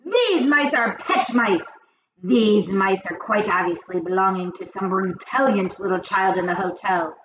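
A woman voicing the cruel, boastful leader of witches in a storybook, with a commanding, grandiose and drawn-out delivery. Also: medium pitch, lo-fi sound, lightly acted. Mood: scornful, commanding. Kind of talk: storybook character voice.

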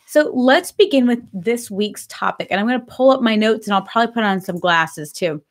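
Chatty woman host, slightly hoarse. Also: on the high side, fairly quick. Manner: upbeat, chatty, says she is excited. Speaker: pet grooming business host. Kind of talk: casual live-stream talk. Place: home office with bookshelves, indoors.

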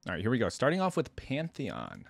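A young man giving casual reaction commentary, reading aloud and musing with expressive exclamations into a close desk microphone. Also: medium pitch, fairly quick, creaky. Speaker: reaction video host. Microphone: close desktop microphone.

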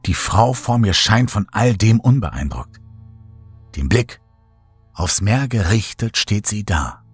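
A man narrating a horror story as a scripted audiobook, in a measured, dramatic storytelling manner. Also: lowish voice, animated, slightly rough, faint music behind.

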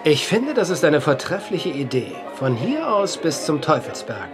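An educated, grumbling companion in an audio drama. He sounds mannered, dry and anxious, with a slightly raised pitch, and music is clearly heard underneath. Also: sing-song, moderate pace. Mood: amused. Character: learned, grumbling, anxious male betting companion. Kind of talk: German audio drama acting.

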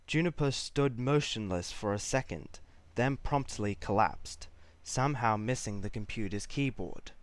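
A man's voice: fairly low pitch, a little slow. A man reading scripted story narration as the narrator of a fan-fiction tale.

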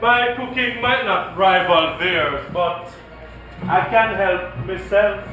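Casting: mild husband, high voice, measured and hesitant, echoey distant theatre recording. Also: male voice, sharp tone, faint music behind, exaggerated voice. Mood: matter-of-fact. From Jamaica. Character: mild, educated, evasive middle-class husband. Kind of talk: comedic stage play acting. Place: theatre stage before an audience.

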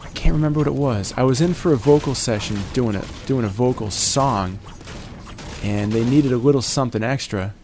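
A man's voice: medium pitch, brisk, noisy background. A man in his forties giving playful gameplay commentary in a jokey morning-DJ manner, wandering off on tangents. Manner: jokey morning-DJ banter, calls viewers "baby" and "my friends". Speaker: gaming commentator.

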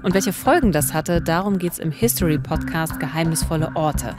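A woman's voice: sits low, moderate pace. A woman in her forties with a standard German accent, co-hosting a true-crime podcast on a close studio microphone. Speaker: podcast co-host. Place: small indoor recording studio. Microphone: close studio microphone on boom arm. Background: music.

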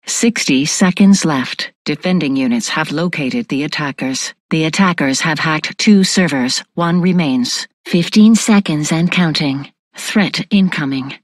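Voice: medium pitch, no echo, lightly acted. A performer voicing an impassive facility defence computer in a military video game, with clipped, formal announcements. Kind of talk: scripted game announcer lines.

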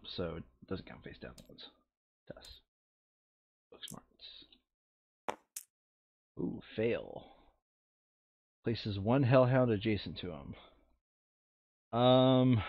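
Casual man in dull, lo-fi audio. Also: on the low side. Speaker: board game player in a recorded play session. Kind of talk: casual gameplay chat.

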